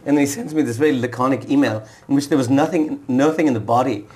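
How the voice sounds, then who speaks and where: Quick-talking man, halting and self-correcting. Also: mid-range voice, darkish tone. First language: Urdu and Punjabi speaker. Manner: fast, hesitant, with many restarts. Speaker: author guest. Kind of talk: TV interview conversation.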